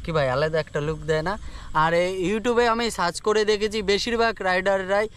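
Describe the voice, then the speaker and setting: A man's voice: high voice, brisk, fairly bright tone, clear, resonant tone. A young man chats casually to camera in an informal, buddy-like way, as in an unboxing vlog. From rural India. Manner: informal, buddy-like address, repeats filler phrases. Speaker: moto vlogger. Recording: noisy outdoor ambience.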